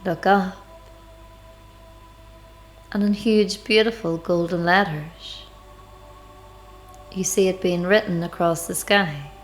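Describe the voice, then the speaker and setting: A woman leading a devotional guided meditation, speaking in measured, deliberate phrases with pauses. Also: lowish voice, steady tone. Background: background music.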